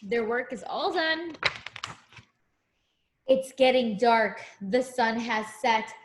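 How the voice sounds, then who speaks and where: A woman reading a picture book aloud to young children as a teacher, in an expressive, deliberate way with simple explanations. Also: a little high, background noise. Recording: echoey room.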